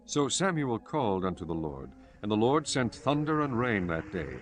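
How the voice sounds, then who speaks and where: A man's voice: lowish voice, big pitch swings, moderate pace. A man narrating scripture as the scripted narrator of an audio Bible drama. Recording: prominent music behind the narration.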